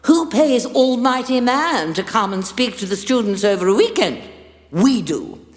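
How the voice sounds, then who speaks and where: An actress playing a haughty, condescending chancellor with a pompous, theatrical delivery. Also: female voice, a little high, big pitch swings, unhurried. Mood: exasperated, grandiose. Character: haughty, imperious female chancellor, fussy and condescending. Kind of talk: audio drama acting. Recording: echoey hall with long reverb.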